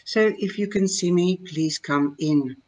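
Older woman, Dutch accent, slow drawl, hesitant and chatty, home webcam, card reader. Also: on the low side, a little mellow, muffled recording. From Netherlands. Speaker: tarot reader. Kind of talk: live-streamed card reading talk. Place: home room, indoors. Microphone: webcam at desk.

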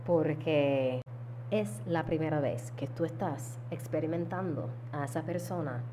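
A woman, calmly teaching a mindfulness lesson to camera, with deliberate pauses. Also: deep voice, noisy background. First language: English. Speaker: yoga teacher. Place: indoor room at home. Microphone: small handheld lavalier mic held close.

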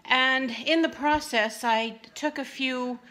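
A woman's voice: high voice, steady tone, takes it slowly, sharp tone. A middle-aged woman chatting casually as she films herself cooking a family dinner in her home kitchen, in a homey, talkative way. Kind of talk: casual cooking vlog narration. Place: home kitchen and living room. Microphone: phone camera held in hand.

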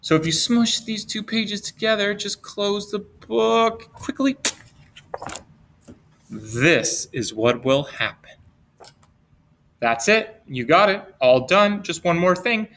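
A middle-aged man reading a picture book aloud to young children in a playful, expressive way, drawing out words and posing simple questions. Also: sits high, takes it slowly, pauses often, slight background noise. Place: indoors at a desk, webcam with book camera.

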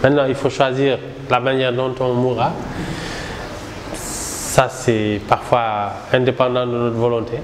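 A middle-aged man from Senegal, the founder of a satirical newspaper, answering interview questions in a measured, reflective way while gesturing a lot. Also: mid-range voice, flat delivery, background noise. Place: small indoor room with poster backdrop. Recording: roomy with reverb and faint ambience.

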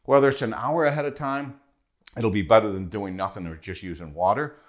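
A man in his forties explaining boiler equipment in a practical, conversational way, recorded with a lapel mic in a bright studio. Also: fairly low pitch, fairly quick. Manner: practical, conversational, points and holds up parts. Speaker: HVAC product specialist. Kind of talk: product walkthrough video. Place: bright studio in front of a whiteboard.

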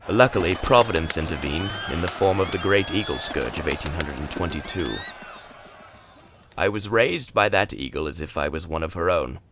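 A man's voice: low-pitched, fairly bright tone, heavily acted. A man playing a scornful, cunning, very old long-lost brother in a theatrical comic dub, with dry, exasperated menace.

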